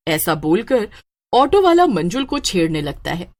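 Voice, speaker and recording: A woman narrating a scripted horror story in a dramatic, expressive way. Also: mid-range voice, unhurried.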